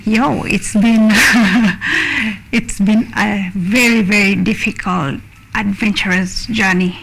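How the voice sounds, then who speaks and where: Soft-spoken woman with a gravelly edge. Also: mid-range voice, takes it slowly, low-quality recording. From Kenya. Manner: soft-spoken, hesitant, laughs often. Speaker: musician guest. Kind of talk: studio interview.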